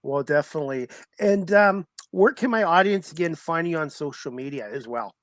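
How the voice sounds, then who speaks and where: A man's voice: a little high, lively intonation, moderate pace, fairly bright tone, no room sound, clean studio sound. A man hosting a sports talk show, chatting casually and informally with a guest and often repeating himself. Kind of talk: casual interview chat.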